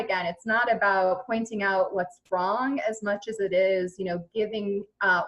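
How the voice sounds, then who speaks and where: A woman speaking as an expert guest in an interview, explaining things in a measured, conversational way. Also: medium pitch, a bit bright, poor audio. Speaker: interview guest, evaluation consultant.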